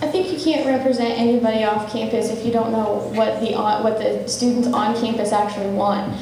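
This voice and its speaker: Young female student candidate, earnest and eager, mellow tone, recorded from far off in an echoey room. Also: fairly high pitch, fairly even intonation, brisk, a little hiss or hum. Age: young adult. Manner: earnest, eager, speaks in fluent run-on sentences. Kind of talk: debate answers.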